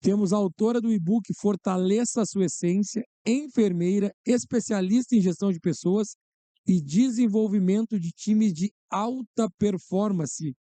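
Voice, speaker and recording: Very dark-voiced male host. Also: sits high, normal speed, dry recording. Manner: playful, jokes about himself. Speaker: podcast host. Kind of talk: theatrical show host introduction.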